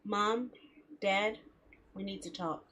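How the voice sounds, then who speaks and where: A woman's voice: medium pitch, slow pace, a bit bright, voice pushed down. A woman voicing a serious, worried young man from a visual novel, grave and concerned in manner.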